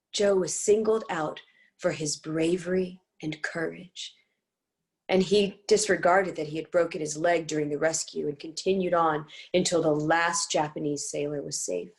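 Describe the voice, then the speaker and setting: A woman with a fairly low voice reads scripted dramatic narration. She tells the story in measured, formal sentences, as the narrator of a play reading.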